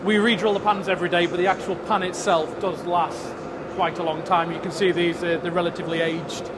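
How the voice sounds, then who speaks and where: Man, factory guide, high voice, matter-of-fact, machinery noise behind. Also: flat delivery. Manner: matter-of-fact, halting with restarts. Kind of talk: explaining a factory tour. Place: large factory floor. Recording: noisy factory floor.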